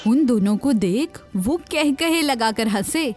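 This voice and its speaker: High-voiced woman narrating a children's tale. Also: lively intonation, a little mellow, soft background music. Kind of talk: scripted storybook narration.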